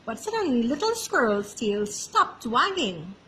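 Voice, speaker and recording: A woman storyteller reading a children's picture book aloud in an expressive, animated way. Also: on the high side, measured pace, slight background noise. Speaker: library storyteller.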